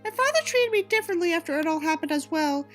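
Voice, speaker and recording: A woman's voice: very high-pitched, animated, fast, faint music behind. A woman voicing a wounded, pleading teenage girl in an audiobook, earnest, plaintive and melodramatic. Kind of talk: audiobook character reading.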